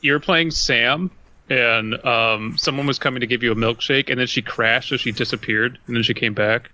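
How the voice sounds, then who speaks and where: A man co-hosting a podcast, casually recounting anecdotes in a halting way with repeated words. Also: mid-range voice, fairly even intonation, sharp tone, rough recording. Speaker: podcast co-host.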